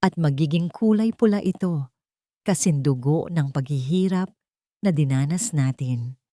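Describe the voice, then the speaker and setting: A woman's voice: low-pitched, a little slow, mellow, no room sound, studio quality. A woman voicing a cold, vengeful, scheming female villain with a commanding manner in an audio drama. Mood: cold, menacing. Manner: commanding, controlling.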